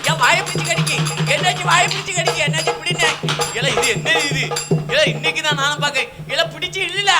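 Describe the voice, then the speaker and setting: A man's voice: very high-pitched, fast, loud music behind the voice. A man with a southern Tamil Nadu accent voicing a wailing, grumbling comic rustic clown in a folk shadow puppet show. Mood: frantic wailing. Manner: exclamatory, repetitive lamenting.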